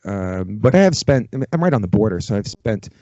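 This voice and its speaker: Male, middle-aged, podcast guest. Mellow, dark tone, casual rambling delivery, dull-sounding recording. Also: lowish voice, big pitch swings, a little fast. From Canada, Toronto area. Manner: informal, peppers talk with "you know". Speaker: podcast guest, ritual drummer. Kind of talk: casual, rambling conversational interview. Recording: muffled, roomy, echoey sound.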